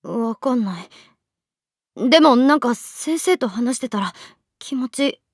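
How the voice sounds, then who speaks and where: A woman's voice: on the high side, animated, takes it slowly, dry recording, clean studio sound. An actress playing a vulnerable, earnest teenage schoolgirl in a Japanese audio drama, with a hesitant, emotionally raw delivery. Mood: earnest, overwhelmed. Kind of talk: Japanese anime-style audio drama acting.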